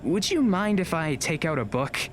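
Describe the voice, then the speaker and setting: A woman playing an easygoing, modest high school student in an audio drama, casual and slightly hesitant, with music behind. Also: big pitch swings, normal speed, background noise. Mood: relaxed.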